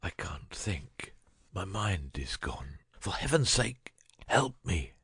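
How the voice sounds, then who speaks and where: An actor playing a cunning detective with a husky voice in a dramatic audiobook reading. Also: male voice, low voice, big pitch swings, takes it slowly, fairly bright tone, no room sound, studio quality, full character voice. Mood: feeble, agonized. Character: cunning male detective, first feigning a dying illness, later brisk.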